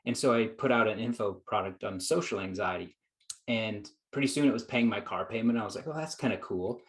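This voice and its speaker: Man, guest psychologist, earnest reflective storyteller, slightly deep voice. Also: fairly quick, fairly bright tone. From United States. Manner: earnest, reflective, acts out his own past thoughts. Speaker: guest psychologist and productivity coach. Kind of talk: conversational storytelling.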